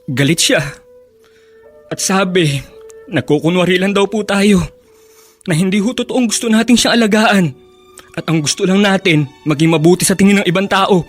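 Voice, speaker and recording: A man's voice: sits high, normal speed, pauses often, soft background music. A man playing a dutiful, worried young grandson in a radio drama, speaking respectfully and pleadingly. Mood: hurt.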